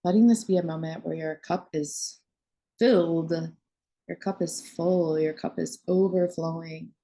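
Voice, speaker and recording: Female meditation guide, very slow delivery with gravelly fry, soothing and spacious. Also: low voice, fairly even intonation, dark tone. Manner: soothing, unhurried phrasing with long pauses.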